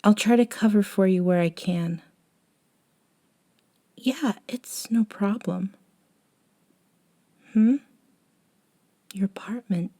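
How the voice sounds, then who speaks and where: Woman acting soft, mellow, unhurried eccentric young friend. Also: medium pitch. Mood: tentative, caring. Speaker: audio roleplay performer. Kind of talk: intimate ASMR audio roleplay.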